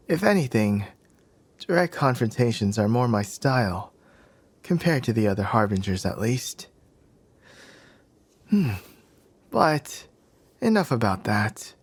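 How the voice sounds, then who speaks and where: Casting: male actor for smug, playful young fighter, mid-pitched, unhurried, teasing the listener in ASMR roleplay. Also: heavily acted. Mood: reassuring. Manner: teasing, smug, breathy sighs and chuckles.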